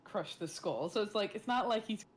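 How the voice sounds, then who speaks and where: Lively woman gamer over background noise. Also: mid-range voice, moderate pace. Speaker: tabletop game player. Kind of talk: casual chat during a game.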